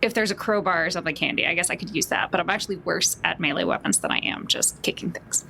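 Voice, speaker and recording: A woman's voice: on the low side, quick pace, gravelly, pushed and bright, slight background noise. A woman playing a tabletop role-playing game, talking casually in a wry, informal way.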